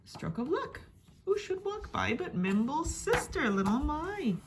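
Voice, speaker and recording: A woman storyteller giving a dramatic reading of a rhyming picture book, expressive, with hushed and emphatic passages. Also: sits high, measured pace. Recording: faint background noise.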